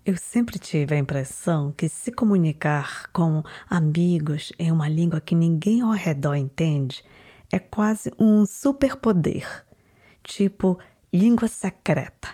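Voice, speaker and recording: Calm, low-voiced woman narrating. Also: takes it slowly, breathy edge. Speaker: documentary narrator and interviewer. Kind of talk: reflective scripted narration.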